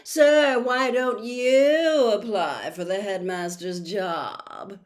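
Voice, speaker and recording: A haughty schoolboy bully from a storybook, acted out by a woman reader. He is loud and gloating, drawing out his words with a sneer and real contempt. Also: mid-range voice, drawn-out words. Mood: smirking flattery.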